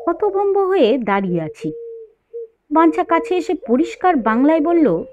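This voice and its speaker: High, lively woman storyteller. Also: moderate pace. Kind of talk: dramatised scripted story narration. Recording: dull, faint noise.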